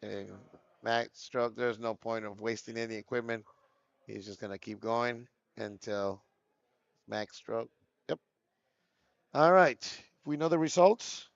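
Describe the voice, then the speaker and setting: A man's voice: mid-range voice, measured pace, vocal fry, clean tone, no room sound, lo-fi sound. A man commentating a live golf video game tournament, chatting loosely and reading names from the chat.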